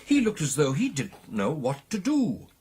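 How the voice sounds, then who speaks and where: An actor with a British accent playing a genial, earnest gentleman clubman in a radio drama, speaking in old-fashioned upper-class phrases. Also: on the high side, wildly swooping pitch, measured pace, slightly rough, heavily acted. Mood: intent storytelling. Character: genial, earnest English gentleman clubman telling a ghost tale.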